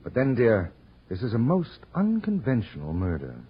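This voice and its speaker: A man's voice: mid-range voice, huge pitch swings, moderate pace, music in the background. A man playing a cool, commanding private detective in a 1940s radio drama, clipped, wry and self-assured. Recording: dull old radio recording.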